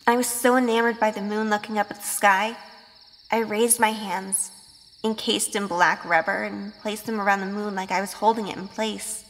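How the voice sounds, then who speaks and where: A woman's voice: medium pitch, fairly even intonation, normal speed, fairly bright tone, natural voice, rough recording. A woman playing an earnest young schoolgirl witch who narrates and speaks in an audio drama. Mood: wistful. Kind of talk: first-person audio drama narration and dialogue.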